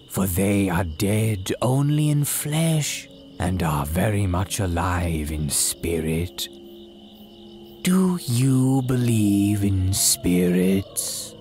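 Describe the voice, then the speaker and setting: Casting: sinister, humble old priest of a haunted cemetery, low and very drawn-out, old-time radio horror style. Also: male voice, darkish tone. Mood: mock-apologetic, then eerie, reverent. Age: older. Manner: archaic, solemn, drawn-out dramatic delivery. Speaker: horror storyteller.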